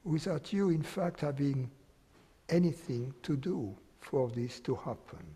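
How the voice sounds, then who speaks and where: Male meditation teacher, slow and unhurried, mellow husky voice, long hall reverb. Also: fairly high pitch, lively intonation. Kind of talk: guided meditation.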